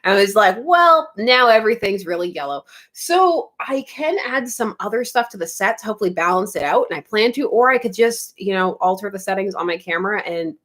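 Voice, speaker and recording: A woman's voice: mid-range voice, lively intonation, brisk, few pauses, fairly bright tone. A woman from Canada hosting a casual livestream from her home studio, chatting and reading out viewer comments, giggling often and drifting from topic to topic. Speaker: YouTube livestream host.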